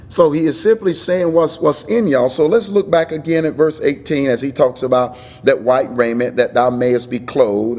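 A middle-aged man preaching a sermon as a pastor, earnest and emphatic, repeating phrases for emphasis. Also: mid-range voice. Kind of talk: sermon, Bible teaching.

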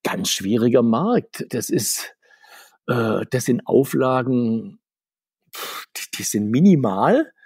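Male interview guest, husky tone, talkative and self-correcting, dry close sound. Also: medium pitch, lively intonation, slow, a little mellow, dry recording. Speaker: guest, comics author. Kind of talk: conversational podcast interview.

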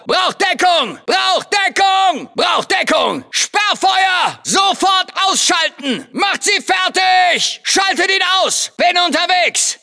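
A man's voice: very high-pitched, pushed and bright, heavily acted. A man playing an urgent, aggressive special forces soldier in a video game, barking clipped military callouts. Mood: commanding. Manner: clipped, commanding. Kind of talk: shouted military combat callouts.

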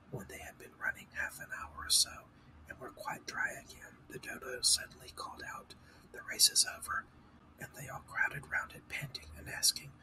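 A man reading a children's story aloud as narrator in a breathy, unvoiced whisper. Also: very high voice, rough recording.

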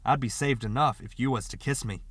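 A man's voice: medium pitch, a little fast, fairly bright tone, breathy edge, no room sound. Blunt, stubborn country man, read by a narrator. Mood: cocky. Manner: blunt, folksy rural speech. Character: gruff, stubborn rural Southern young man in audiobook story.

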